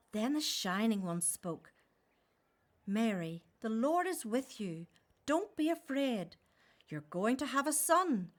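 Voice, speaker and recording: A gentle young woman of faith in a radio play. Her voice is high and lively in pitch, and she speaks very slowly, with earnest wonder. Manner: earnest, wondering, measured storytelling.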